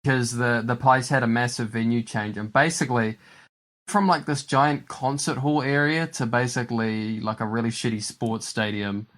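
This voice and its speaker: A man's voice: medium pitch, fairly even intonation, normal speed, fairly bright tone. A man from New Zealand hosting a podcast, talking casually in a rambling way with lots of fillers and laughter. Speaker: podcast host. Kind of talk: casual chat.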